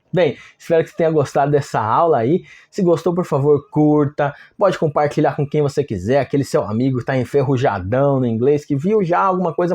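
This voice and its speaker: Brazilian man teaching, slightly high voice. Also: darkish tone, breathy edge. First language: Portuguese. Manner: conversational, frequent check-in tag questions. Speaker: language teacher. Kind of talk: informal online lesson, explaining step by step.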